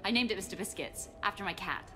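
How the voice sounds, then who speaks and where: An actress playing a jumpy, chatty young military intelligence desk worker who rambles and hesitates in video game dialogue. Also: female voice, medium pitch, animated, quick pace, music in the background, close to an everyday voice, low-quality recording. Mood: jittery, rambling. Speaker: intelligence analyst character.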